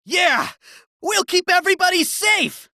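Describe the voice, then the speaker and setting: A man's voice: extremely high pitch, expressive, a little slow, dry recording, clean studio sound, full character voice. A man voicing a fervent, devoted hype man in a video game, cheering on his brother. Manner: shouted cheering.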